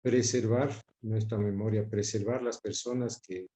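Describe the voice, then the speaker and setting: Older guy from ecuador, calm reflective interview talk, warm low tone, says things twice. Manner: measured, repeats words for emphasis. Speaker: interviewee, son of a broadcaster.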